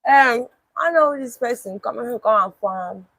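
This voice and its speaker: Husky woman chatting on stream. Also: a little high, animated, moderate pace, a bit bright, no room sound. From Nigeria. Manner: talks back to chat comments, blunt and swearing. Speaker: live streamer. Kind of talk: casual live stream chat.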